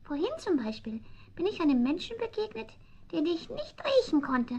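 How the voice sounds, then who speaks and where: A woman's voice: extremely high pitch, very animated, normal speed, darkish tone, exaggerated voice. A woman playing a nosy, cheeky talking tomcat in a children's radio play, with an inquisitive, childlike, persistent manner. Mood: curious, pert. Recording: dull old vinyl record transfer.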